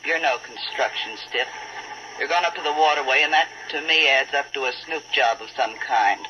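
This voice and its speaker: A woman's voice: rumbling low, normal speed, pushed and bright. A woman playing a tough, cynical riverboat skipper in a 1940s radio drama, blunt and slangy. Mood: shrewd, needling. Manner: hard-boiled, slangy, blunt. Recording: dull, noisy old 1940s radio recording.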